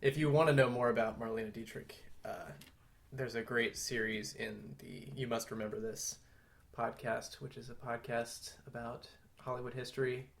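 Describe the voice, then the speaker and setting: A man co-hosting a podcast, talking through film history conversationally in a measured, explanatory and thoughtful way. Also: medium pitch, fairly even intonation, gravelly. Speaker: podcast co-host.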